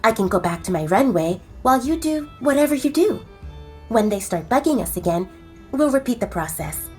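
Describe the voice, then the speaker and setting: Woman playing a lively, high-voiced young she-wolf model. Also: normal speed, soft background music. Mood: persuasive. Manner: direct, persuasive. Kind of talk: dramatised audio romance.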